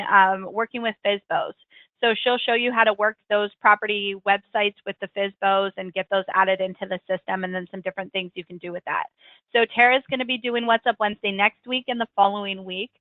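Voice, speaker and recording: Chatty woman on a tinny line, slightly raspy. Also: medium pitch, no room sound. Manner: friendly, chatty, frequent ums and self-corrections. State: getting over a cold, slightly raspy. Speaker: software trainer hosting a weekly webinar. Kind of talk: screen-share tutorial walkthrough. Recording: tinny, dry call-line audio.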